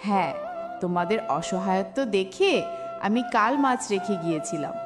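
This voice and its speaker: A woman voicing a kind, caring water fairy in a cartoon, gentle and concerned. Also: medium pitch, animated, moderate pace, music in the background, lightly acted. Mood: kindly concern. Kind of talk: cartoon voice acting.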